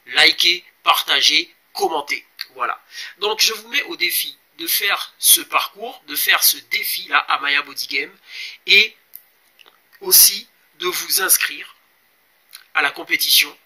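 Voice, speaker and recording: Bright-voiced man in his forties, halting. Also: on the high side, animated. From Congo. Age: 40s. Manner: hesitant, many pauses and fillers, urging viewers. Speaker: fitness vlogger. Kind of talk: casual explanatory vlog.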